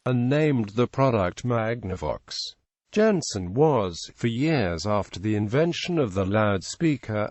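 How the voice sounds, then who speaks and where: A man delivers scripted, encyclopedia-style narration in a mid-pitched voice. He reads in a measured, impersonal manner, and the sound is very dry, with no room around it. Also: a little mellow, no echo.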